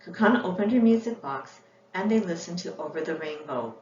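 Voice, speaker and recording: A woman's voice: fairly low pitch, lively intonation, fairly quick, darkish tone. A woman reading scripted children's storybook narration as its author. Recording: echoey room, dull sound, faint noise.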